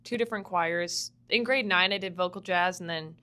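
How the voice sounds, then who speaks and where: A woman's voice: fairly low pitch, moderate pace, bright, forceful tone, clear, resonant tone. A young woman from Vancouver, a musical theatre student, chatting casually as a podcast guest, laughing often and filling her talk with "like". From Vancouver, Canada. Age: young adult. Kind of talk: casual interview chat.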